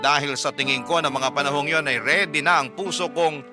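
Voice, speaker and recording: A man's voice: a little high, fairly quick, lightly acted. A man narrating a scripted radio love story in the first person as a hopeful single woman. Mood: tender, hopeful. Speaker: storyteller on radio drama show. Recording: music bed behind the voice.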